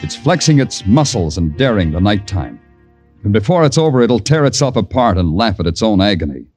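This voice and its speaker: An actor playing a world-weary American police detective, narrating in brooding, lyrical, hard-boiled 1940s radio noir style. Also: male voice, fairly low pitch, sing-song, a little fast. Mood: intense, poetic. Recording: dull old radio broadcast, loud music.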